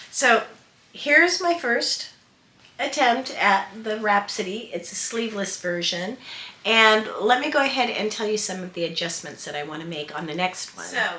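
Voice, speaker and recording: A woman in her sixties with a stuffy nose and sore throat, chatting excitedly and casually to camera as a sewing vlogger in her sewing room. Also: medium pitch. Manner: chatty, excitable, many fillers. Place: home sewing room, indoors. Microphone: camera at desk distance. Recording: echoey room.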